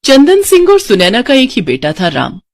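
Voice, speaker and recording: A woman's voice: fairly high pitch, huge pitch swings, moderate pace, gravelly, dry recording, clean studio sound. A woman with an Indian accent reading scripted narration for a moral story.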